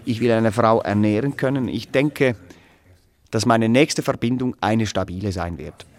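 An actor in a German radio play playing a pompous, coldly moralizing executioner who lectures in a stilted, pedantic way. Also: male voice, fairly low pitch, normal speed, a little mellow, long reverb tail, natural voice. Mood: self-important, hesitant.

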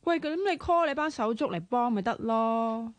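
A woman acting in a radio drama as a playful, bossy young woman who is tight with money, teasing and sharp-tongued in Hong Kong Cantonese. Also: on the high side, animated, normal speed, dry recording, close to an everyday voice. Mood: wheedling.

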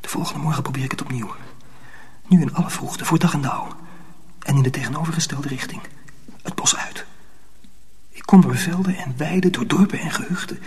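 A very husky, breathy young apprentice lad, pitched a little high. He is weary and bewildered, and tells his tale in urgent, half-whispered phrases, as in a radio play. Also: fairly quick, pauses often. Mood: desperate, weary. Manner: intense, dramatic, short urgent phrases. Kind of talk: first-person radio drama narration.

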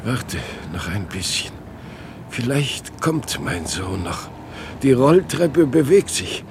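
An actor playing a frail old father waiting for his lost son in a radio play, halting and swinging between murmurs and outbursts. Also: medium pitch, sing-song, noisy background. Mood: pleading. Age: older. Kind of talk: German radio play acting.

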